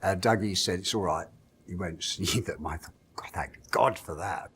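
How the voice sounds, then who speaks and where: A filmmaker recalling a location shoot in an interview, expressive and quick to laugh. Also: male voice, low-pitched, a little hiss or hum. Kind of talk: interview anecdote.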